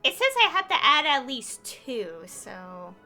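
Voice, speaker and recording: A woman streamer commenting casually on her game and thinking aloud. Her voice is bright and fairly high, slow overall, with music softly behind. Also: sing-song. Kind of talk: casual live gameplay commentary.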